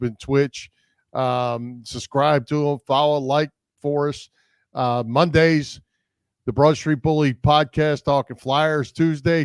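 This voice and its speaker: A man's voice: fairly high pitch, clean tone, no echo. Man co-hosting a sports show, very dry sound. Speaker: sports podcast co-host. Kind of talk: casual sports chat.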